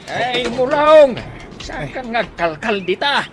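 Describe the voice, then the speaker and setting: Woman playing a fretful old father, animated pitch. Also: slow pace, soft background music. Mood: exasperated. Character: fretful elderly rural father, exasperated with his grown son. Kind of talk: Ilocano radio comedy drama acting.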